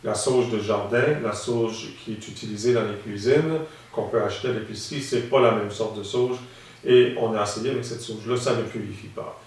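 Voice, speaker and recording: A man from Saskatchewan, calmly explaining and demonstrating as an instructor, often pausing with hesitations. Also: fairly low pitch, slight background noise. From Saskatchewan, Canada. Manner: calm, explanatory, with frequent hesitations. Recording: very echoey room, mic at a distance.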